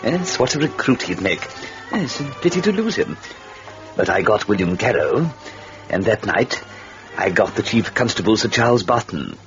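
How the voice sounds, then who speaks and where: An actor playing a sardonic, gloating Devil who narrates a radio horror tale in a wry, theatrical, knowing manner. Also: male voice, lowish voice, big pitch swings, moderate pace, fairly bright tone. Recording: dull old radio recording with music.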